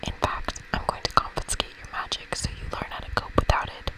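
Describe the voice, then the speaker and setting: A woman's voice: fairly quick, in a whisper, lightly acted, low-quality recording. A woman voicing a strict, scolding older female camp director in a game, officious and stern.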